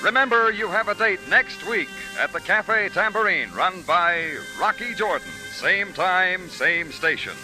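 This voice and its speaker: A man's voice: high-pitched, lively intonation, measured pace, hardly any pauses, music in the background, low-quality recording. A man announcing on vintage radio, reading sponsor spots and credits with polished, emphatic salesmanship. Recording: old radio broadcast recording.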